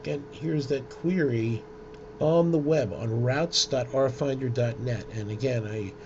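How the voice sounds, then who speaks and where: Male tutorial presenter, informal and hesitant with a creaky voice; dull recording with heavy noise. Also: mid-range voice. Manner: hesitant, halting delivery with frequent ums. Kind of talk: informal screen-share software demonstration. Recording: dull lo-fi audio with loud noise.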